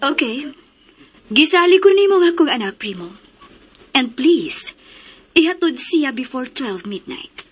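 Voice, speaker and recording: Protective middle-aged mom in a radio soap, high voice, strict and scolding. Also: female voice, sing-song, unhurried, pauses often. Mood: cautioning. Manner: firm, admonishing. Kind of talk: radio drama acting.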